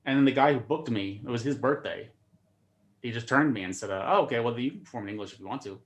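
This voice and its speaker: Fast-talking comedian, stammering restarts. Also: male voice, mid-range voice, expressive. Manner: fast-talking, repeats words while starting sentences. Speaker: comedian guest. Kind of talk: casual chat on video call.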